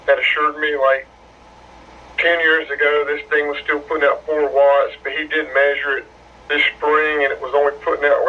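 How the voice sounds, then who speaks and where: A man's voice: on the high side, muffled recording. Plain-spoken man reviewing gear. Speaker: host of a radio hobby channel. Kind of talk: casual product review.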